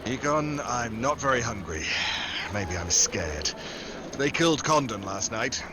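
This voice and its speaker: Wry actor as a radio secret agent, animated delivery. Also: male voice, medium pitch, measured pace, noisy background, natural voice. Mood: wary, businesslike. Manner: wry, sardonic. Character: wry, tough male American secret agent investigating smuggling. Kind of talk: old-time radio drama acting.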